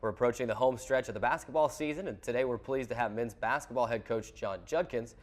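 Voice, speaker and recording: A man's voice: medium pitch, fast. A man hosting a sports show, talking conversationally as he introduces and interviews a guest. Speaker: sports show host and play-by-play broadcaster.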